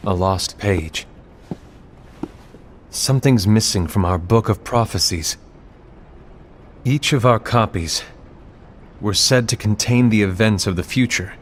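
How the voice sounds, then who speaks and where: An actor playing a calm, measured male warrior leader who speaks deliberately. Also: on the low side, slight background noise, lightly acted. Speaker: voice actor in a game cutscene. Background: faint game music.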